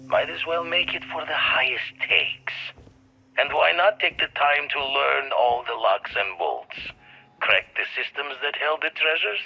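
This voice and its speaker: A man's voice: medium pitch, husky, dull audio, full character voice. An actor playing an older retired space pirate in a video game, telling his past in colourful, wistful old-salt phrases. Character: grizzled retired space pirate, reflective, old, turned explorer. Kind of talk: video game character monologue.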